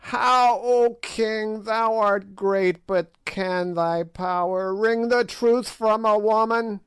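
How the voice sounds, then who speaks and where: A man's voice: steady tone, takes it slowly, a bit bright, smooth, no echo. A man voicing an ancient, evil witch woman who shrieks and cackles in old-fashioned speech. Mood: defiant. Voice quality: shrill, cackling.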